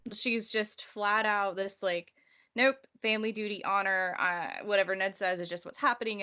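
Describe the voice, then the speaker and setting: A woman's voice: mid-range voice, pushed and bright. A woman co-hosting a casual book discussion, explaining her opinions in a slangy, conversational way. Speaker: podcast co-host.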